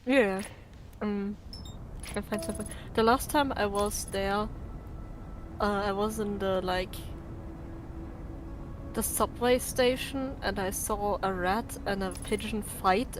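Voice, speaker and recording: A woman's voice: mid-range voice, fairly even intonation, unhurried. Casual woman on a slightly noisy voice chat. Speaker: co-streamer in voice chat. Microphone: Discord voice call with noise cancellation.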